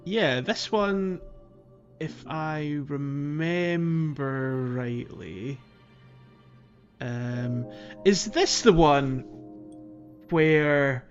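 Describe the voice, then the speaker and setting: A man's voice: a little high, drawn-out words, long pauses, highs cut off, soft background music. A man co-hosting a casual video game podcast, rambling along and chuckling through his anecdotes. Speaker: podcast co-host.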